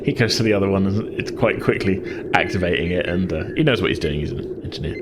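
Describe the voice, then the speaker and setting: A man's voice: sits low, animated, fast, heavy background noise. A man acting as game master, narrating a tabletop role-playing game and feeling his way through descriptions.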